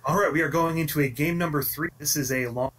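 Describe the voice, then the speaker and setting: A man's voice: a little high, fast, fairly bright tone. A man giving casual live analysis as commentator of a card game match. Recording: distant mic with clear background noise, clicks.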